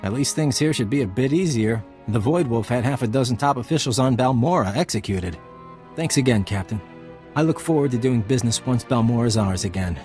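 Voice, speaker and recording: A man's voice: mid-range voice, lively intonation, a little fast, a little mellow, faint music behind, natural voice. Man playing a wry, mid-voiced resistance leader. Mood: wry, grateful. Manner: plain-spoken, drily humorous. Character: wry, grateful resistance leader on an occupied world. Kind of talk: video game acting.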